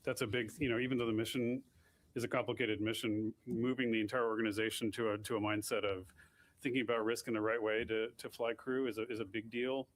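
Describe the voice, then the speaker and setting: A man answering questions at a press briefing as a launch official, quick and full of restarts. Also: medium pitch, level tone.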